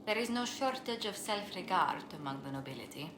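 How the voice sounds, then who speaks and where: A woman's voice: mid-range voice, lively intonation, brisk, close to an everyday voice. A woman playing a refined, gracious and wistful lady ambassador in a video game. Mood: reflective, gracious. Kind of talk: video game dialogue acting. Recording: roomy, noisy ambience behind.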